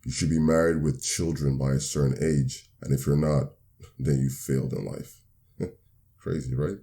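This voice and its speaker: A man from Nigeria, hosting a solo motivational podcast in an upbeat, earnest and measured way. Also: rumbling low, steady tone, dark tone. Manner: upbeat, earnest and measured, slows to repeat definitions. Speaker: podcast host.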